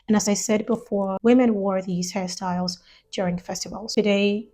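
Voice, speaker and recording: A woman from Ghana who lives in the United States, explaining a topic in a measured, thoughtful video essay. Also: mid-range voice, a little mellow. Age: adult, lived years in the US.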